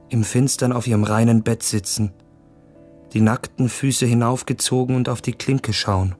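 A man's voice: medium pitch, darkish tone, lightly acted. An actor playing a feverish, yearning young man in introspective monologue in a German literary radio drama. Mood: agitated desire. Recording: studio voice with music behind.

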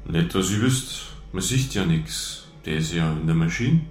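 An actor playing a taciturn, guarded farmer in a radio crime drama, speaking tersely and evasively with a Bavarian accent. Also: male voice, low-pitched, lively intonation, unhurried. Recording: roomy radio drama scene, music underneath.

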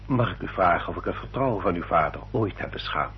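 An actor playing a courteous, guarded gentleman suitor in a radio drama, with polite and stiffly formal speech. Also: sits low, expressive, fast talker. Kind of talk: Dutch radio drama acting. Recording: dull, noisy old radio recording with hum.

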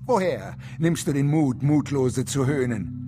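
A man's voice: medium pitch, lively intonation, unhurried, over music, lightly acted. An actor playing a desperate, indignant little man, theatrical, pleading and railing in a German radio drama. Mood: furious. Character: desperate, indignant little man devoted to his dying dog.

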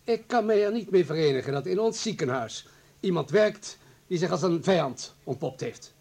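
A hard, anxious party comrade in a radio drama. His voice sits high and husky, and he argues in formal, emphatic phrases, insistent and self-justifying. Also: expressive, normal speed, a little mellow. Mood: stern, insistent. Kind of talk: radio play acting.

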